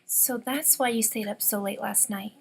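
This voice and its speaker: Agreeable young orphan girl acted by a woman, high and breathy, over music. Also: normal speed. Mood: curious. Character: modest, agreeable orphan girl who sews. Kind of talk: motion comic dialogue. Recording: music behind the voice.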